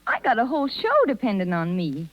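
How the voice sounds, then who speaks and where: A plain-spoken, proud young show girl of 1930s radio drama. She talks in breezy slang with a very animated pitch. The sound is muffled, vintage and lo-fi. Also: fairly quick. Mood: wry, chatty. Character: brash, slangy young circus rider woman, injured and proud. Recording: dull, lo-fi 1930s radio recording.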